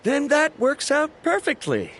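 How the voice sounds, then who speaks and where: A man's voice: very high voice, wildly swooping pitch, measured pace, a bit bright, a little hiss or hum, exaggerated voice. A man playing a pompous, blunt young scholar in a video game, speaking formally and emphatically. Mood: self-assured.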